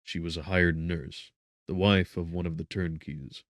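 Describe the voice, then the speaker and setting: A man reading a gothic audiobook in a solemn, measured, dramatic way, with brooding pauses. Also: sits low, steady tone, dry recording, studio quality. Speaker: audiobook narrator. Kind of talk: dramatic scripted narration of gothic literature.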